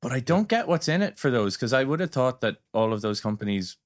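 A man's voice: mid-range voice, big pitch swings, fairly quick, no echo, studio quality. A man talking casually as a panelist in a tech discussion. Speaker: podcast panelist from a tech company.

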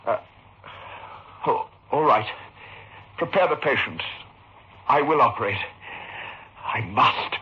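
An actor playing a tormented, obsessed surgeon in a melodramatic 1960s radio horror drama, brooding and halting. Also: male voice, medium pitch, animated, slightly rough, full character voice. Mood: distraught. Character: tormented surgeon obsessed with his own deadly hands. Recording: noisy, muffled old radio recording.

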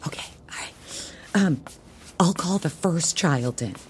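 An actress playing a prim, bossy, judgmental church youth leader in a comedic audio drama, sweetly stern and passive-aggressive with dramatic pauses. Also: female voice, on the low side, lively intonation, a little mellow, in a large hall, slight background noise. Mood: brisk, chirpy.

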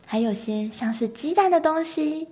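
A woman's voice: high-pitched, animated, moderate pace, darkish tone, faint music behind. A woman narrating a scripted children's story as its storyteller.

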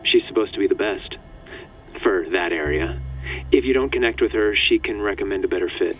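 Brief: man playing dry, teasing, protective older brother, low voice, tinny phone line, audio drama. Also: fairly quick. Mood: teasing, guarded. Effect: phone call filter.

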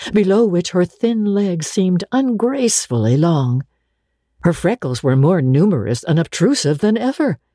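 A woman narrating classic literature as a dramatic audiobook, in a theatrical, deliberate and expressive way. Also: on the low side, no room sound, clean studio sound.

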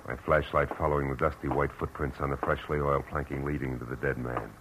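A man's voice: extremely low pitch, little pitch movement, fast. An American man playing a hard-boiled Los Angeles private detective in a radio drama, with clipped, commanding, world-weary speech. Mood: grim, watchful. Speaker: lead actor and first-person narrator. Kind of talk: 1940s radio detective acting and narration. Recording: noisy old-time radio recording.

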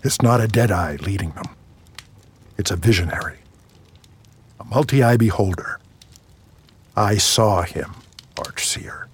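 An actor playing a grave seer in a fantasy audio drama, speaking in a hushed, halting, solemn way. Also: male voice, on the low side, slightly rough. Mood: grave, haunted. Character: grave male seer reporting dire visions to his superior.

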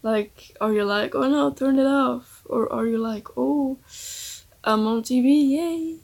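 A woman's voice: high-pitched, takes it slowly, vocal fry, darkish tone. A woman whose first language is Dutch, playfully asking a question in a self-recorded video message. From Belgium or the Netherlands. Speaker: viewer sending in a question.

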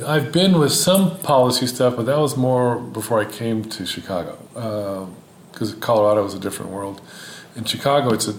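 A man from Houston, an Old Testament scholar, talking as a podcast guest in a thoughtful, measured way with frequent fillers. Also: fairly low pitch. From Houston, Texas, United States. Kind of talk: podcast interview. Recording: roomy sound with faint ambient noise.

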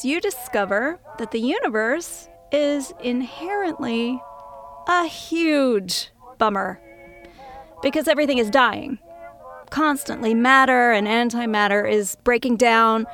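A sardonic, brainy female scientist in a comic audio drama. Her voice is high, her delivery dry, blunt and world-weary. Faint music sits behind her. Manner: dry, wry, sharp-tongued.

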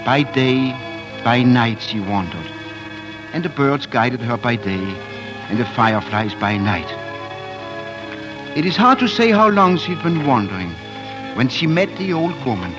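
A man's voice: mid-range voice, sing-song, measured pace, pauses often, poor audio. Man narrating a fairy tale over music. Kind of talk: scripted storybook narration, 1940s radio.

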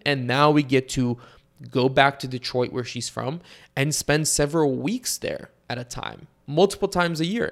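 A young man from Bulgaria hosting a solo podcast and talking to his listeners in a relaxed, conversational way. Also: medium pitch. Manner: conversational, with fillers and asides to listeners. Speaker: podcast host. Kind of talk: casual solo podcast monologue.

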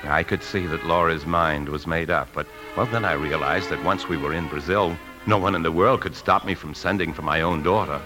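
An actor playing an outwardly calm but secretly anxious bank man, with tense, clipped delivery in 1950s radio drama style. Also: low voice, moderate pace, few pauses, music in the background, natural voice. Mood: grave, confiding. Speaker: lead actor and narrator. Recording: vintage 1950s radio broadcast.

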